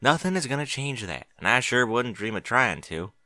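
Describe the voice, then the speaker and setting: Folksy female pony for a storybook male reader performing: colloquial, reassuring, lively intonation, dry sound. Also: medium pitch, normal speed, no echo. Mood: reassuring, firm. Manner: folksy, colloquial, plain-spoken. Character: adult female country pony, plain-spoken, reassuring. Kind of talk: audiobook character dialogue.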